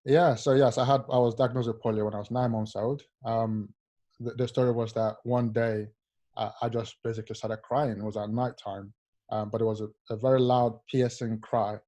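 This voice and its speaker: A young man from Nigeria, a rower, telling his life story in an interview in a soft, halting way with many fillers. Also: medium pitch, flat delivery. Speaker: Paralympic rower, guest. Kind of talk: casual interview, personal story.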